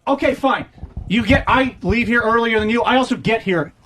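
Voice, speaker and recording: A man's voice: very high voice, normal speed, fairly bright tone. A man in a heated workplace argument, speaking in a blunt, insistent and profane way. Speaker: radio show staffer.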